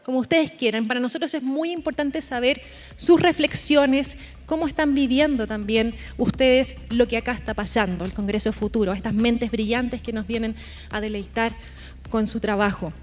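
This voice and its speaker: A woman's voice: on the high side, normal speed, soft background music. A woman hosting a live conference, announcing speakers and addressing the audience. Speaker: event host. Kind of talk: live event presenting. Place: large conference hall.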